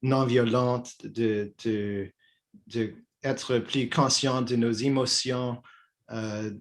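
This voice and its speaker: An older man talks as a guest in an easygoing dialogue. He speaks at a middle pitch, pausing and restarting his phrases often. He is from Quebec. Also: steady tone. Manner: halting, many restarts and fillers. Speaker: guest, peace activist. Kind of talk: conversational dialogue.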